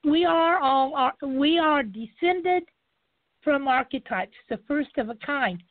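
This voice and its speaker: Woman, high voice, slow deliberate speech, dry phone-line audio. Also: no echo. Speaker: guest. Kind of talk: casual talk show chat.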